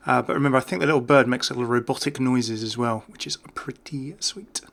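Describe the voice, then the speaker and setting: A man's voice: mid-range voice, a little fast, gravelly, fairly bright tone. A man giving casual, off-the-cuff video game commentary in a chatty, enthusiastic way. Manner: chatty, enthusiastic about small details.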